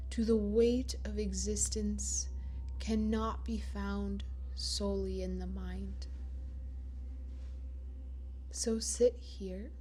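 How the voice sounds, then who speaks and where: Slow-paced woman guiding a meditation. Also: mid-range voice. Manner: slow, gentle, soothing delivery with long pauses. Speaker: meditation guide. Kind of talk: guided meditation narration.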